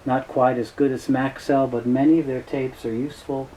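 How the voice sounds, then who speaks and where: A middle-aged man with a soft-edged, mellow voice presents an informal explainer. He speaks in halting phrases, often pausing on an uh before carrying on. There is faint noise behind his voice. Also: medium pitch, flat delivery, low-quality recording. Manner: hesitant, halting phrasing with frequent uh pauses. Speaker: presenter, tape specialist. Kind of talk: informal product overview explainer.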